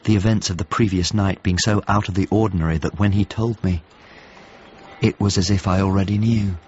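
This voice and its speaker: A male actor playing the grave narrator of a radio drama, gravelly, measured and solemn as he describes things in literary prose. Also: sits low, fairly even intonation, a little mellow, highs cut off. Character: grave male narrator recalling paintings and past events.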